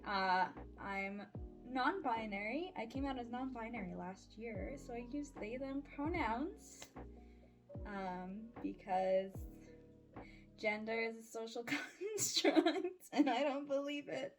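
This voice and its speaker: A woman's voice: a little high, lively intonation, takes it slowly, music in the background. A woman in her early twenties giving a casual personal update in an awkward, self-deprecating, giggly, rambling way. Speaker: audio roleplay voice creator and vlogger.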